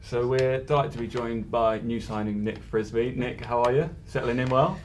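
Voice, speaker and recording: A man's voice: medium pitch, normal speed, noisy background. A man with a Glaswegian accent presenting and interviewing as a podcast host.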